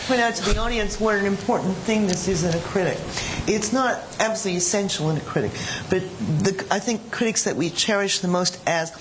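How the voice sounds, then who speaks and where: A woman's voice: mid-range voice, brisk, hardly any pauses, noisy background. A woman critic making a case on a panel, thoughtful and self-correcting as she argues. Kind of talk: panel discussion.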